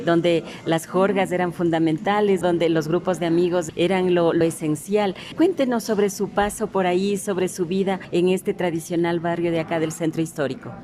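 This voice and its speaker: A woman host with an Ecuadorian accent and a fairly low, mellow voice. She puts formal, prepared questions to her guest as an interviewer. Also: fairly even intonation, moderate pace, hardly any pauses.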